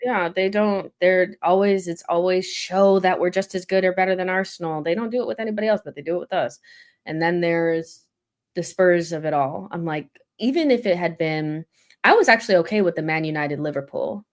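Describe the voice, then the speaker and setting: A woman's voice: on the low side, brisk. A woman hosting a casual football chat, blunt and pessimistic. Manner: blunt, pessimistic fan venting. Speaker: host.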